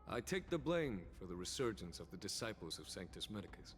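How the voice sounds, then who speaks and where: A man voicing a calm, courteous general in a fantasy video game, with formal, measured speech. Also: fairly low pitch. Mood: calm, apologetic. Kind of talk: scripted video game voice acting. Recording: long reverb, faint noise behind.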